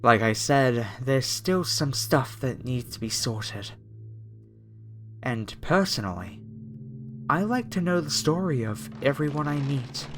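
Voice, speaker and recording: Guy acting as a creepy zealot cult captor, horror asmr, really slow n menacing, music behind him. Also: mid-range voice, animated, fairly bright tone. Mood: ominously soothing. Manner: slow, menacing, preacherly, reverent, with deliberate pauses. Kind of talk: horror ASMR roleplay monologue.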